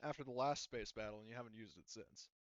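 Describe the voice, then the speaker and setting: A man's voice: lowish voice, quick pace, dry recording, lo-fi sound. A man running a tabletop roleplaying session as game master, talking conversationally and often searching for his words.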